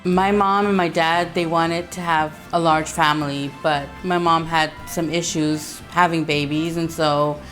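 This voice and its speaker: A woman with a somewhat low voice speaks plainly and reflectively, pausing with small fillers. Faint music sits under her words. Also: fairly even intonation. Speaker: interviewee, sister. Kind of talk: documentary interview. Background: soft music.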